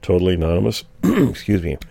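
Very deep, hoarse-edged man chatting. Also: very animated, fast, mellow, no echo. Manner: clears throat often, meandering. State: losing his voice. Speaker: podcast co-host. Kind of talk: casual chat.

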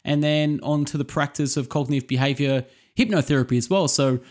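A man with a somewhat higher-pitched voice works as an interview host. He moves between introductions and conversational questions for his guest. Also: fairly quick, a little mellow.

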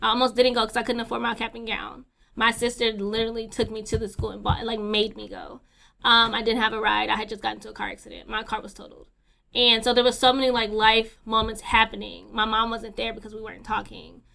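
A woman's voice: on the high side, little pitch movement, quick pace, creaky, sharp tone. A young woman co-hosting a podcast, talking candidly with lots of fillers, swearing and laughter. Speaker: podcast co-host. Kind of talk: casual chat.

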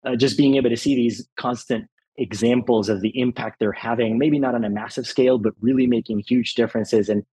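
A man's voice: mid-range voice, fairly even intonation, fairly quick, warm tone, slightly rough. A man from El Salvador, a guest on an interview podcast, talking earnestly and with enthusiasm, often leaning on the word "really.". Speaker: private equity partner, guest.

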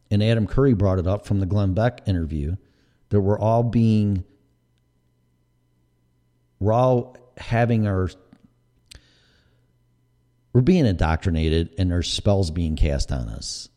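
A man's voice: on the low side, lively intonation, measured pace, pauses often, mellow. A middle-aged man with a Chicago accent, hosting a podcast in a blunt, profane and sarcastic casual rant. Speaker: podcast host.

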